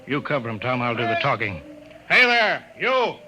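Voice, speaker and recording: An actor playing a blustering, impatient colonial bureau chief who barks brusque orders, in old-time radio drama style. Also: male voice, mid-range voice, sing-song, moderate pace, very noisy, close to an everyday voice. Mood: bossy, impatient. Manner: brusque, bossy, clipped orders.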